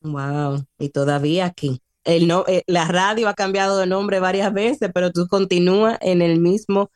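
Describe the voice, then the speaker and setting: A woman in her late thirties on a radio show, explaining taxes and chatting. She hesitates often, fills pauses and drops English words into her speech. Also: on the low side, steady tone, darkish tone, no room sound, studio quality. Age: 30s. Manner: hesitant, many fillers, mixes in English words. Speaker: tax service guest on radio. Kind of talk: informational radio chat. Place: radio studio.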